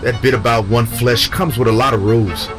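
A proud, embattled male senator played by a man speaks in a medium-pitched voice. He is earnest and conversational, weary and defensive in an audio drama. Music plays clearly behind him. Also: steady tone, a little fast, close to an everyday voice.

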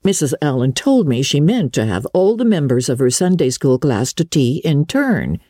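A woman's voice: low-pitched, big pitch swings, normal speed, warm tone, no echo. A woman playing stern, curt old Marilla. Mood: dry. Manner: curt, dry, unimpressed. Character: stern, dry older spinster woman.

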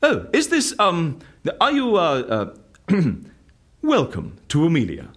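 A woman's voice: fairly low pitch, huge pitch swings, takes it slowly, full character voice. An actress playing a wry, officious woman who runs a secret service, formal and businesslike, in an audio drama. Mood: flustered.

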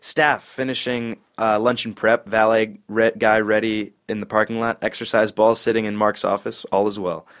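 A man's voice: on the low side, little pitch movement, normal speed, few pauses, a bit bright. A man narrating stage directions at a comedy table read in a dry, clipped way.

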